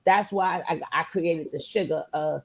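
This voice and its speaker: A woman with a hoarse, nasal voice hosts a casual group chat, talking in a slangy, familiar way and repeating phrases for emphasis. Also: low voice, a little slow, no echo. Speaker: channel host.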